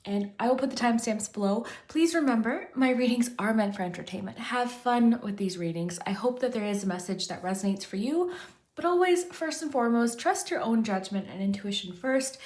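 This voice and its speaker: Woman doing a tarot reading for her channel, kinda hoarse, voice sits a bit high, rambles a lot. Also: expressive, fairly quick, a little mellow. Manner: chatty, rambling, frequent "kind of" and restarts. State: losing her voice. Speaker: tarot reader, channel host. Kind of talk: casual pick-a-card tarot chat to camera.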